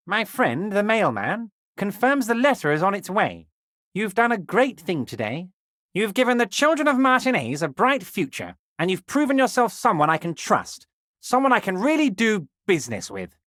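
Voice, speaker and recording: Corrupt union boss, video game character, high-pitched for a man, very animated intonation, smarmy, falsely friendly, patronizing, dry sound. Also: dry recording.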